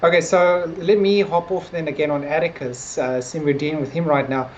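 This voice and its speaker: A low-voiced woman talks through her opinions on a podcast in a loose, conversational way. She hedges often and peppers her points with little filler words. There is some noise on the recording. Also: fairly even intonation, a little fast, darkish tone, low-quality recording. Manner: hedging, conversational, frequent "like" and "sort of". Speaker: podcast co-host discussing a TV series. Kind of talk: casual review discussion.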